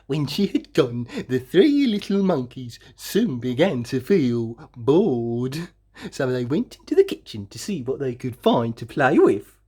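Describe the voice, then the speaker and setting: Female storybook reader, expressive narration, unhurried pace, animated intonation, dramatic drawn-out emphasis. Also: a bass voice, husky. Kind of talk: expressive children's read-aloud narration.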